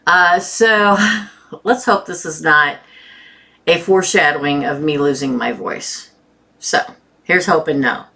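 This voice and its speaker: Woman, tarot reader, sore throat, low-pitched, bright tone, chatty live-stream host. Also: slow, vocal fry, pauses often. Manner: chatty, addresses viewers by name. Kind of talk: casual live-stream chat.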